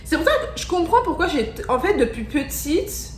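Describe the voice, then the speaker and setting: A young woman from France reacting in a casual vlog, excitable and slangy, repeating her exclamations. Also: high voice, moderate pace, slight background noise. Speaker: vlogger. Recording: echoey room with faint music.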